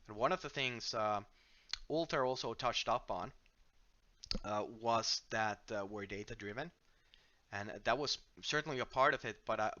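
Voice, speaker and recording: A man's voice: mid-range voice, lo-fi sound. Finnish-accented man hosting a game livestream. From Finland. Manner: relaxed, self-deprecating, frequent filler words and restarts. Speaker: livestream host from the game company. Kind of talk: casual livestream chat while playing and reading viewer questions. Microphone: headset.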